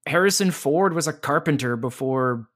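A man's voice: a little high, normal speed. A man who works as a voice actor and voice teacher, talking energetically in a casual interview with many restarts. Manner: energetic and animated, with frequent restarts.

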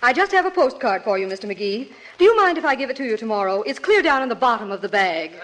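Fast, high-voiced chirpy mail woman. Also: lively intonation, a bit bright. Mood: chirpy, polite. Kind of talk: 1940s radio comedy acting. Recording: noisy vintage radio recording.

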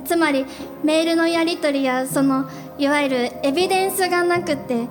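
Actress as young female junior employee, high-pitched, earnest and hesitant, radio drama with music underneath. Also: moderate pace, lightly acted. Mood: flustered. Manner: hesitant, polite. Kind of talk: radio drama acting and narration before a live audience.

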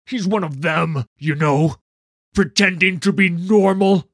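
A man voicing a stern, bitter mage hunter from a fantasy story, rough-voiced, sneering and accusatory. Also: lowish voice, lively intonation, slow, no echo, studio quality, exaggerated voice. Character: stern, bitter male mage hunter, grieving and menacing interrogator.

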